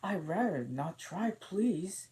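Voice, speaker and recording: A man voicing a polite, puzzled young girl in a storybook reading, speaking gently in a raised voice. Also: high-pitched, animated, takes it slowly, voice pushed up.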